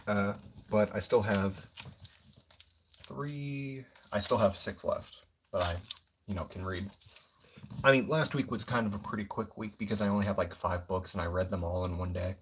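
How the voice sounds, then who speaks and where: A young man talking quietly and casually on a livestream, rambling about comic books with lots of fillers. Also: on the low side, moderate pace, a little hiss or hum. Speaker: comic book streamer.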